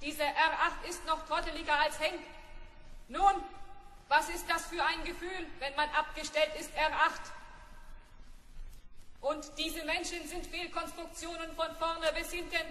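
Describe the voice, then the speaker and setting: Scornful little robot, extremely high and flat, griping, self-satisfied, processed, radio play. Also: measured pace, heavily acted. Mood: smug grumbling. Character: vain, grumbling, scornful robot who outsmarts humans. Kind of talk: 1970s German children's radio play acting. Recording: echoing hall-like reverb.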